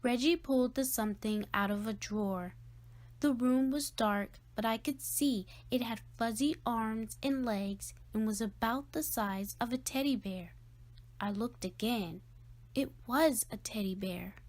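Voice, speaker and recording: A woman's voice: fairly high pitch, unhurried, a little mellow. A woman reading a children's storybook aloud as a scripted narration. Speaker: storybook reader.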